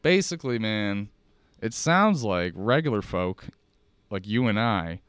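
A man's voice: fairly low pitch, animated, measured pace, a bit bright, smooth, no room sound. An American man hosting a casual solo podcast, chatting in a rambling, informal, slangy way. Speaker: podcast host. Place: at a kitchen table at home.

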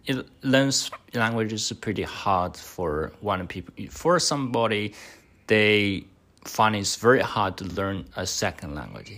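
Young guy, really low voice, slow and halting, lots of uh and um. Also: expressive, sharp tone. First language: Chinese. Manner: halting, hesitant speech with many fillers. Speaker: podcast host. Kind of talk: mock speaking exam answers.

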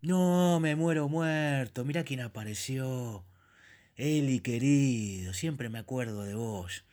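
A man's voice: medium pitch, takes it slowly, darkish tone, close to an everyday voice. A man with an Argentine accent playing an eager, sentimental middle-aged former classmate. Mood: gushing.

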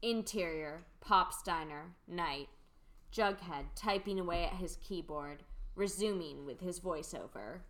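A young adult woman reads the stage directions in a dry, matter-of-fact way. Her voice sits low. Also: a little slow, fairly bright tone. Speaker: podcast co-host reading stage directions. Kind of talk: scripted stage-direction reading.

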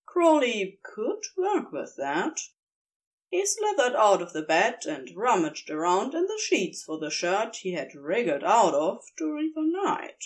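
A woman narrates a story aloud in a relaxed, unhurried way, taking her time over each phrase. Her pitch moves a great deal, rising and dipping with the sentences to keep the telling lively and expressive. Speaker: podfic reader. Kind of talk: audiobook-style reading of fan fiction.

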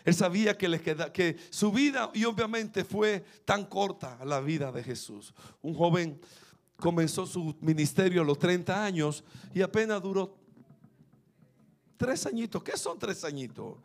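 A man's voice: high voice, a little slow. A man preaching a sermon, fervent and repetitive, building to emphatic peaks. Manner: fervent, rising to emphatic peaks, repeats phrases.

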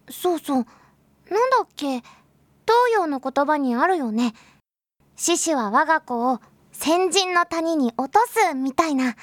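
Audio drama little sister: extremely high voice, childlike, sincere and gentle, adores her big brother, dry studio sound. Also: no room sound. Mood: happy, relaxed. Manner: eager, childlike, gentle, talking to an unheard brother. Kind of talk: Japanese audio drama acting.

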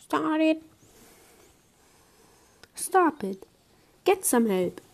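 A young woman commenting on her own online match, excitable, swinging from low muttering to high squeals. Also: extremely slow, vocal fry. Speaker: gamer streamer. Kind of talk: live gameplay commentary.

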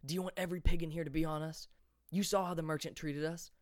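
A man doing a hushed, urgent young man. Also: a little high, steady tone, fast talker, no echo, clean studio sound, pitched above the performer's own voice, close to an everyday voice. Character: level-headed young man, cautious friend.

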